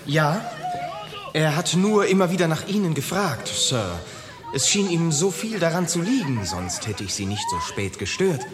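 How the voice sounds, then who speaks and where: A man's voice: fairly high pitch, big pitch swings, moderate pace, crowd noise. An actor playing a deferential male asylum attendant in a German radio drama, apologetic and respectful. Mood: respectful, uneasy. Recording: long reverb with background music.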